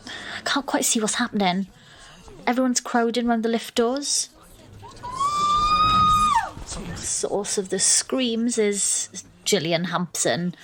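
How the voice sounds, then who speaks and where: An actress voices a young woman telling an audio drama whodunit. She is wry and exasperated, at a middling pitch, with clear noise behind her. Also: lightly acted. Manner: wry, sardonic. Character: Kara, exasperated young woman narrating her murder mystery. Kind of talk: audio drama narration and dialogue.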